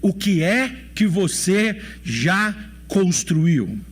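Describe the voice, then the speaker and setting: Man, brazilian accent, pastor preaching in a church, high-pitched, very lively intonation, rhetorical questions. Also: extremely slow. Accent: Brazilian Portuguese. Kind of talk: sermon.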